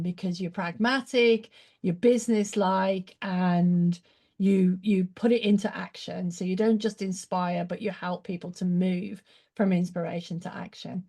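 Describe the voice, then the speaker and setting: Female talk host, effusive and enthusiastic; mellow, relaxed timbre. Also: medium pitch. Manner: effusive, gushing praise, animated. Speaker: show host. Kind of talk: casual interview chat.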